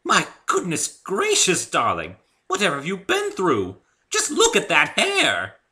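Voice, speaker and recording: A man acting out a high-pitched lady unicorn, refined English accent, theatrical, gushing, storybook fashionista. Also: huge pitch swings, unhurried, bright, forceful tone, heavily acted. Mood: aghast. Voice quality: elegant, refined. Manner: dramatic, effusive, addresses people as darling.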